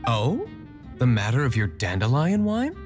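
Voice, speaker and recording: A man voicing an aloof, composed young gentleman tavern owner in a video game, formal and stern in his speech. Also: mid-range voice, huge pitch swings, soft background music. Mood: cold scorn. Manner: formal, measured, well-spoken. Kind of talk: English video game voice acting.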